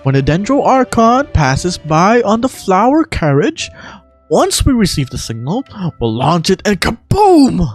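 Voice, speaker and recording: Man acting out a theatrical, high-voiced excitable young architect. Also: unhurried, soft background music, heavily acted. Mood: eager. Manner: theatrical, emphatic. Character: excitable, dramatic young male architect, indignant and earnest.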